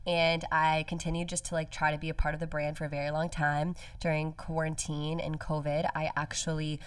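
Low-voiced woman in her twenties, earnest. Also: level tone, fairly quick, clear, resonant tone, no echo, distant mic. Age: 20s. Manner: earnest, storytelling, many "like" fillers. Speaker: podcast guest. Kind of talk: casual interview chat. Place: podcast studio with window. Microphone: studio mic on stand, headphones.

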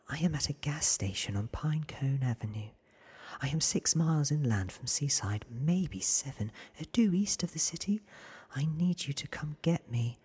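A man voicing a cool, commanding, secretive vampire woman from a storybook in a clipped, breathy ASMR whisper. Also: on the high side, animated, normal speed, darkish tone, pitched above the performer's own voice. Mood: urgent, controlled.

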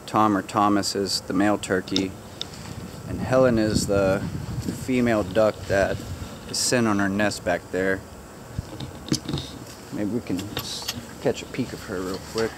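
A man casually showing viewers around his backyard garden outdoors, chatty and relaxed, joking with his animals. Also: fairly low pitch, a little hiss or hum. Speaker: gardener giving a backyard tour. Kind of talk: casual walk-through narration.

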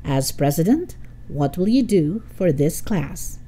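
A woman voicing a cheerful male schoolteacher, measured and formal, in a storybook reading. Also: low voice, lively intonation, warm tone, slightly rough, full character voice. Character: cheerful male schoolteacher moderating a class debate.

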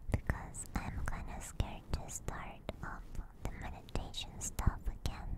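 A woman host whispering softly and breathily into a close binaural microphone, chatting gently and casually with viewers in an ASMR style. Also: rough recording.

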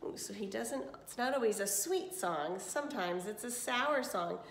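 A woman's voice: medium pitch, animated, moderate pace, vocal fry. A woman reading a picture book aloud to children as a storyteller, expressively, with little asides and questions. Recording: echoey room.

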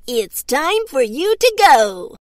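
A woman voicing a small, cocky but nervous male rat in a children's storybook, with a squeaky voice. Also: very animated, slow pace, no echo, clean studio sound, heavily acted.

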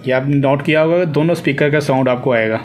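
A man's voice: medium pitch, quick pace, music in the background. A man giving an unscripted, step-by-step tech tutorial, instructing and repeating phrases as he demonstrates. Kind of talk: hands-on spoken tutorial, unscripted. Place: indoors at a desk with a laptop. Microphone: handheld phone behind the camera. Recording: clearly noisy homemade phone recording.

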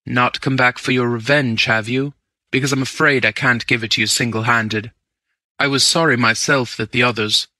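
A man voicing a cool, assured gentleman with a languid, unruffled manner. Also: medium pitch, steady tone, normal speed, no room sound.

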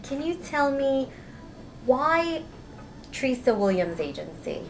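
A woman's voice: high voice, very animated, noisy background. A woman in her thirties hosting a promotional community TV interview, speaking enthusiastically into a handheld mic in an office. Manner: enthusiastic, spells out web address slowly. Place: office room with red wall.

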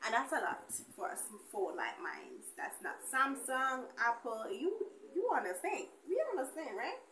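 A young woman chatting casually to camera as a beauty vlogger, animated and colloquial, addressing viewers familiarly. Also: high voice, moderate pace, reverberant. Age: young adult. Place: small indoor room with bare wall.

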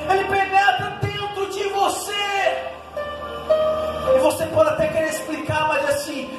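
A man in his thirties with a Northeastern Brazilian accent, preaching a passionate sermon that surges loud and then drops low, into a handheld mic in a small church hall. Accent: Northeastern Brazilian Portuguese. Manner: impassioned preaching, surging then dropping low. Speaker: pastor. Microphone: handheld mic at a pulpit. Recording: echoey hall, distant camera, loud music.

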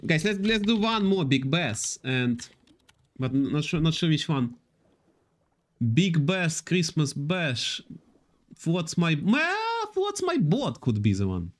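A man in his thirties hosting a live slots stream from an indoor room, talking loosely to his chat in halting, repetitive English. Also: on the high side, big pitch swings. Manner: reads and answers chat, loose non-native English with repetitions.

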